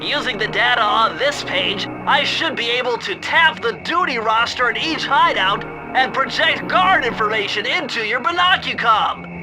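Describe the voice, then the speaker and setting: Casting: anxious brainy young turtle sidekick. Extremely high, nasal, fussy and precise, video game cartoon over a music bed. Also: male voice, lively intonation, normal speed, hardly any pauses, low-quality recording. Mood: instructive. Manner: fussy, precise, techy explaining.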